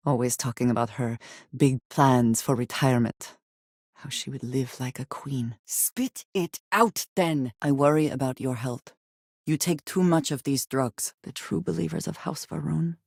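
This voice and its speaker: An actress playing a guarded, intense female space explorer in a video game, speaking in formal, measured, deliberate phrases. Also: very deep voice, gravelly, darkish tone, breathy edge, no room sound, clean studio sound, exaggerated voice. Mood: cold, angry. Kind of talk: video game character dialogue.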